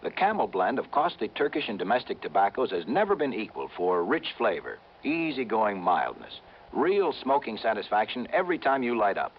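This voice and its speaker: A man's voice: lowish voice, huge pitch swings, normal speed. A man reading a scripted advertisement in 1950s radio announcer style. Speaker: commercial announcer. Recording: dull, faintly noisy vintage radio recording.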